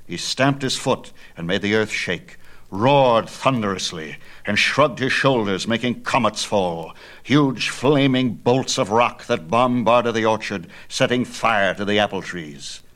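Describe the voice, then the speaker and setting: Male narrator, lowish register, dramatic and declamatory, reading myth in measured phrases. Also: fairly bright tone. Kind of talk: dramatic scripted storytelling of myth.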